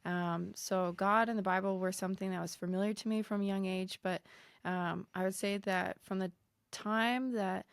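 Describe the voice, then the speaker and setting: A woman with a slightly mixed American accent telling her personal story as a podcast guest, pausing often mid-thought. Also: medium pitch, steady tone, creaky, dry recording, clean studio sound. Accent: American, slightly mixed with New Zealand. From United States, grew up in Michigan. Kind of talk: casual personal testimony in conversation.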